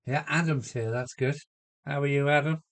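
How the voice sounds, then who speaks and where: A man with a cold chatting casually on a home live stream from his loft, answering viewers and trying out halting Spanish phrases. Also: fairly high pitch, fairly even intonation, a bit bright. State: has a cold. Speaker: model railway live streamer.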